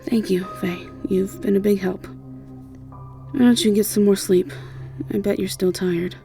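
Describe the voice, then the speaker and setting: A woman's voice: medium pitch, steady tone, unhurried, vocal fry, warm tone, soft background music. A woman voicing a caring, guilt-ridden young woman mentor in an audio roleplay, earnest and apologetic. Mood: warm, grateful.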